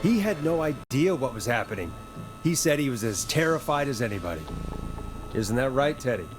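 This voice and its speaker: An actor voices a man hosting late-night radio in a horror game. His mid-range voice stays calm and measured as he probes and presses for answers. Music plays clearly behind him. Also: medium pitch, natural voice. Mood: pressing, confronting. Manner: measured, probing questioning. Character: calm late-night radio host, probing and stalling a killer. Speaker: radio talk show host. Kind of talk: scripted game voice acting.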